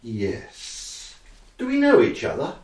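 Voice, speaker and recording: Uncertain dreamer, halting, hushed, extremely slow, echoey. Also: medium pitch, huge pitch swings, heavily acted. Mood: dazed, mysterious. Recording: echoey, distant from the mic.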